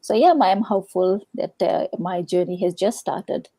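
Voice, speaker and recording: A woman's voice: fairly low pitch, fairly even intonation, normal speed, mellow, no echo. A woman from Fiji in her thirties sharing her story as a guest on a live stream, hesitant and full of fillers. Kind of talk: casual live-stream chat.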